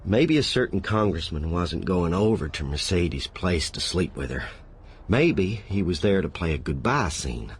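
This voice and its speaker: A man's voice: low voice, very animated, unhurried, a little hiss or hum. An actor playing an insinuating, needling man pressing a suspect in a radio drama.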